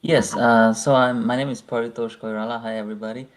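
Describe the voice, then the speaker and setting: A man's voice: mid-range voice, little pitch movement, quick pace. A young man from Nepal, a guest in a live interview, talking casually and hesitantly with many fillers. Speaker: interview guest, visa client.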